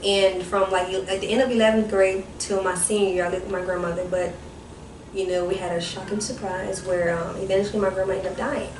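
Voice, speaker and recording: A soft-voiced young woman, a guest on a podcast, telling her life story in a rambling, self-correcting way. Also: medium pitch, level tone, a little fast. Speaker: guest, ministry founder. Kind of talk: personal testimony in casual chat. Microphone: distant mic. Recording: roomy, distant mic with background noise.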